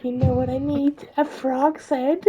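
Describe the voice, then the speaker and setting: A gleeful, scheming storybook witch, shrieking far above a normal speaking voice. She drags out her words and cackles as she bargains, loud and piercing over faint background noise. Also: sits high, little pitch movement, highs cut off, voice pushed up. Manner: shrieking, drawn-out, cackling delivery.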